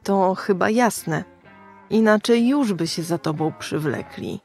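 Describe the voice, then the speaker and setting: Gravelly, foul-mouthed underground streetwalker. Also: female voice, medium pitch, lively intonation, takes it slowly, darkish tone, faint music behind. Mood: taunting, matter-of-fact. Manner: crude, streetwise, taunting, slangy. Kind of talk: radio drama acting.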